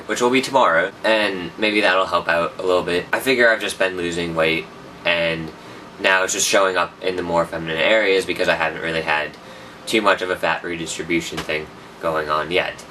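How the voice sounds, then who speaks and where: Deep-voiced young man, chatty vlog. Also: steady tone, brisk, bright, forceful tone, far from the mic, slight background noise, low-quality recording. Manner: rambling, self-correcting, chatty. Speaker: vlogger. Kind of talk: casual personal update.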